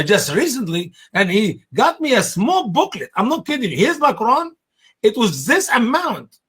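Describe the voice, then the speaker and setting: A middle-aged man from Egypt with an Egyptian Arabic accent, co-hosting a casual panel discussion in an excitable, emphatic way. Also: slightly rough. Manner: excitable, emphatic, swings high on key words. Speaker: co-host.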